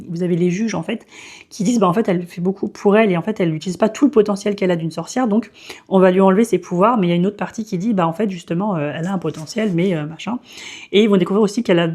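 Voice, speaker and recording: A woman's voice: fairly low pitch, dark tone. A woman in her thirties giving a casual, hesitant book review full of fillers and restarts. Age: 30s. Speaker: book vlogger.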